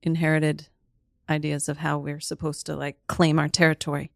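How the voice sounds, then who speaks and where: A woman chatting casually as a podcast guest, thoughtful and halting as she searches for phrasing. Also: low-pitched, monotone, vocal fry, no echo, studio quality. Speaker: podcast guest, mime performer. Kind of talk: casual chat.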